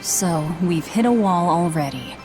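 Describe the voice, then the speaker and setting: A woman's voice: lowish voice, animated, a little slow, rough recording. A woman playing a blunt, guarded young adventurer in a video game cutscene, with a dry delivery. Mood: skeptical. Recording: music under the voice.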